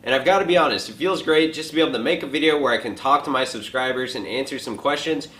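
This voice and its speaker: A twenty-year-old man hosting a casual question and answer vlog from a home kitchen, relaxed and joking, with lots of filler likes. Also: medium pitch, fast. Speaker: fitness vlogger answering viewer questions. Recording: echoey room.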